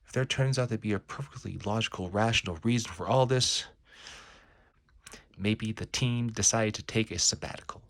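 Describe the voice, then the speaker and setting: A man's voice: fairly low pitch, moderate pace, lightly acted. A man voicing a wary, wisecracking superhero who thinks aloud in an anxious, colloquial mutter, as in a comic book reading. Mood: wary, puzzled. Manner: muttering self-talk, colloquial exclamations.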